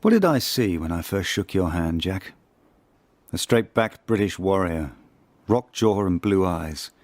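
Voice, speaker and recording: Deep, close, wistful ageing spy. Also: male voice, expressive, darkish tone, no room sound. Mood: wistful, reflective. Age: older. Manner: measured, literary, intimate narration. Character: ageing male spy, reflective, confessional, wistful. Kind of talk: radio drama monologue.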